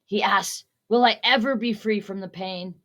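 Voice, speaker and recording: A woman's voice: medium pitch, fairly even intonation, moderate pace, fairly bright tone. A young woman, a student, reading a scripted story retelling aloud, quoted dialogue included. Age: teens or young adult. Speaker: student reader.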